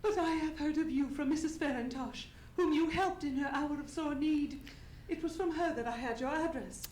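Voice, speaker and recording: A woman playing a frightened young gentlewoman in a live radio melodrama, formal and pleading, recorded on a theatre stage. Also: high-pitched, moderate pace, echoey room, slight background noise, close to an everyday voice. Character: frightened, distraught young gentlewoman seeking help. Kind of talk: live old-time radio melodrama acting.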